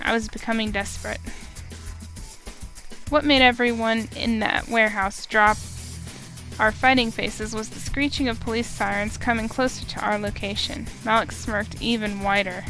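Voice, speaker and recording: A woman reading a visual novel aloud in steady first-person narration. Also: on the high side, normal speed, soft background music, poor audio. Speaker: let's play reader.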